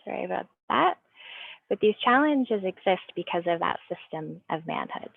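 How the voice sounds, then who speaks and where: A woman giving a research presentation on a video call, explaining in a measured way with small restarts. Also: lowish voice, big pitch swings, vocal fry, no echo. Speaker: guest speaker presenting research. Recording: tinny phone-like line, dry.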